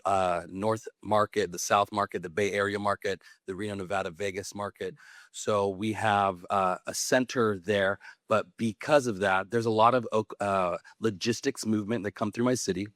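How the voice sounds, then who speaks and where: A man in his thirties from California addresses a panel audience with earnest conviction. His voice sits low, and the sound is dry and close, with little room around it. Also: no room sound. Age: 30s. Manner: earnest, passionate advocacy. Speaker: mayor on a panel. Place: conference panel.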